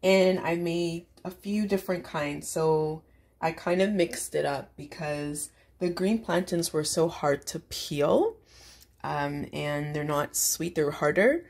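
Female home-cooking host, low-pitched, casual and explanatory. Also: slow, gravelly, darkish tone.

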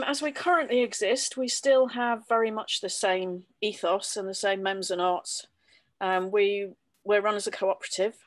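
Measured woman on a dry video call. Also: mid-range voice, dry recording. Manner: measured, explanatory, with filler ums. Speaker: publisher. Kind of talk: online panel talk. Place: home room under sloped ceiling. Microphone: laptop webcam video call.

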